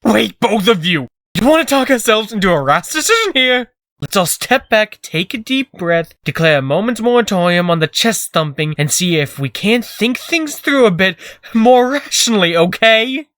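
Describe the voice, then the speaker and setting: A man's voice: sits high, sing-song, normal speed, a bit bright, dry recording, clean studio sound, full character voice. A man playing a cowardly, glib young trickster in a comic dub, whiny and pleading. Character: whiny, cowardly young male rascal, fast-talking trickster. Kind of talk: dramatic comic-dub voice acting.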